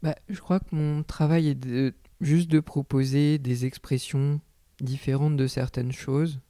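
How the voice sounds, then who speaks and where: A man's voice: mid-range voice, steady tone, measured pace, warm tone, no room sound. A man speaking as a textile artist in an interview, giving reflective answers and often hesitating while he searches for words.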